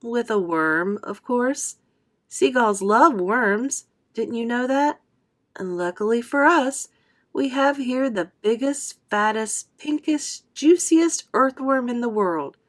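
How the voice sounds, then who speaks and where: A confident storybook boy, read by a woman, calm and determined. He explains things firmly and persuasively, with a high, animated voice. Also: slow pace. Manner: firm, explanatory.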